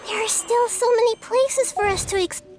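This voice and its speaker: A woman voicing a tiny, curious, childlike fairy girl with a squeaky voice for a video game. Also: normal speed, over music. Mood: excited. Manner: wide-eyed, exclamatory, refers to self in third person.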